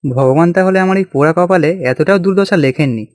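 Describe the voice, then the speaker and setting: A man's voice: fairly high pitch, fast talker, no echo, studio quality, natural voice. A man voicing a hardworking village farmer in a cartoon, with a folksy, exclamatory way of talking. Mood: hopeful. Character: hardworking village farmer husband, hopeful and plain-spoken. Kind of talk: cartoon voice acting.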